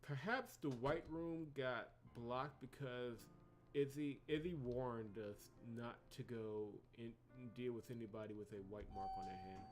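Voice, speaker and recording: A man's voice: medium pitch, a little slow, soft background music. A man hosting a blind game playthrough, giving casual commentary and reading text aloud, often musing hesitantly as he trails off. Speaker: gaming host doing a blind playthrough.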